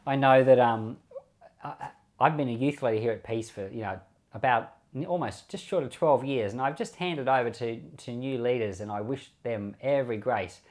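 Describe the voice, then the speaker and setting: A man's voice: medium pitch, normal speed, darkish tone. An Australian man speaking as a pastor, explaining scripture in an informal, conversational preaching style, often repeating short phrases. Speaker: pastor and Bible podcast host. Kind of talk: informal Bible commentary and preaching.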